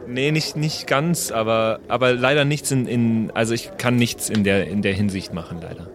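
A man's voice: medium pitch, normal speed. A man playing an improvised tabletop role-playing game, chatting casually with somewhat hesitant, tentative phrasing.

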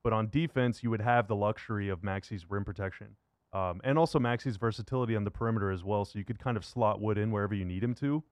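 A man's voice: lowish voice, fast talker, dry recording. A man hosting a sports radio show, talking in a casual, conversational way about basketball. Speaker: sports radio and podcast host. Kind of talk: upbeat show intro and basketball interview.

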